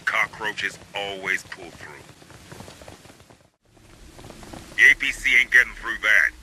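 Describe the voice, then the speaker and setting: A man's voice: lowish voice, moderate pace, pushed and bright, breathy edge, heavily acted, rough recording. A man playing a hardened, wry military squad leader in a video game, speaking in a terse, commanding, dry way. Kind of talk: video game cutscene acting.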